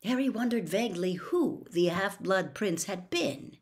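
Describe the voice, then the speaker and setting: Woman, storybook narrator, animated pitch, expressive reading. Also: a little slow. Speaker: audiobook reader.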